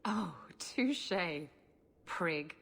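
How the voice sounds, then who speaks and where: An actress playing a brazen, bawdy female rogue in a video game, husky-voiced, sly and teasing. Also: fairly low pitch, animated, drawn-out words, a bit bright, full character voice. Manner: sly, mocking, drawling. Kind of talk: video game character acting.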